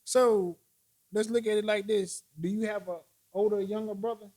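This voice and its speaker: A man in his forties chatting animatedly with friends, arguing his point through examples and quick restarts. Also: sits high, steady tone, a little mellow. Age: 40s. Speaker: podcast host. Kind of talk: casual chat.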